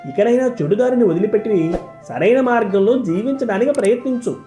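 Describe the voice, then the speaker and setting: A man voicing earnest, kindly village folk in an animated moral story, with roomy echo. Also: sits high, fairly quick, soft background music. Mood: kindly, advising. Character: concerned, respectful villagers and kindly elders.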